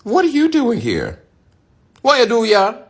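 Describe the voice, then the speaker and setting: A man's voice: extremely high pitch, wildly swooping pitch, unhurried, slightly rough. A man with a Jamaican accent giving a phrase-by-phrase language lesson, saying each phrase plainly and then in dialect. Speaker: language demonstrator.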